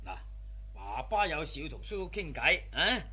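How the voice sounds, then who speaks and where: A man's voice: on the high side, sing-song, moderate pace, bright, forceful tone, slightly rough, full character voice. An actor playing a hearty, shrewd middle-aged boss and indulgent father in a radio drama, effusive and colloquial. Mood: indulgent scolding.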